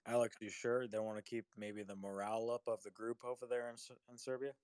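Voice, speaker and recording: Low voice guy running the mic queue, short laid back handoffs, dry. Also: fast, clear, resonant tone, no echo. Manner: brief, relaxed handoffs. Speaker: moderator.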